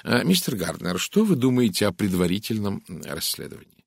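A man voicing a polite, methodical little Belgian detective in an audiobook, courteous, measured and deliberate. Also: on the low side, very animated, creaky, breathy edge, no room sound, clean studio sound, full character voice. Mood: polite, probing. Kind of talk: audiobook character voice.

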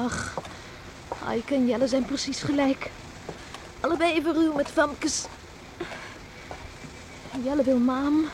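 A woman playing a sensible, disapproving young country woman in a radio serial, plain-spoken and sober in manner. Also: sits high. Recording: noisy old radio play recording.

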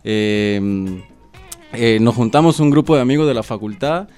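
A man's voice: fairly low pitch, unhurried, darkish tone, smooth, faint music behind. A man from Costa Rica chatting casually as a radio interview guest, colloquial, modest and a little hesitant. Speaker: interview guest, web series maker.

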